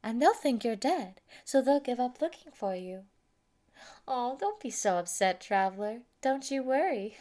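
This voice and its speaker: A woman's voice: on the high side, sing-song, unhurried, warm tone. A woman voicing a sadistic, gleeful female mad scientist who taunts and gloats over a captive in a theatrical roleplay monologue. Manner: taunting, gloating, giggly, swinging into whispers. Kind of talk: theatrical villain monologue, fan roleplay audio.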